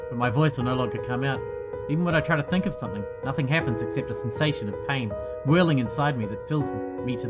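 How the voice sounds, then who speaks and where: A man hosting a gaming video, reading a visual novel's text aloud with dramatic delivery and breaking off into quiet joking asides. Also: mid-range voice. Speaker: gaming channel host. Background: loud game music.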